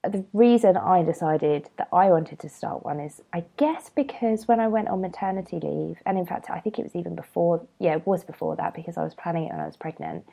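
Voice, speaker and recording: Low-pitched nasal British woman podcaster. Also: very animated, a little fast, vocal fry, few pauses, dark tone. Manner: rambling, informal, self-deprecating. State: congested with a cold. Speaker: podcast host. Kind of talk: casual solo podcast chat.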